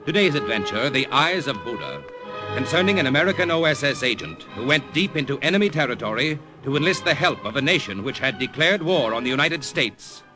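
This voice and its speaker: A man announcing a 1950s radio drama in a solemn, deliberate, scripted style. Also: mid-range voice, bright, forceful tone. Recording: old radio broadcast with music bed.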